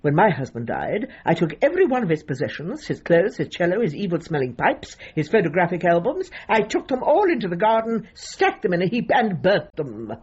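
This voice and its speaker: Older British woman, prim dog trainer, husky, wildly swooping intonation, formal emphatic phrasing, radio comedy drama, slightly muffled sound. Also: lowish voice, sing-song, a little fast, hardly any pauses, a little mellow. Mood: brisk, satisfied. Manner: formal, emphatic, precise, old-fashioned phrasing. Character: prim, opinionated old widowed female dog trainer.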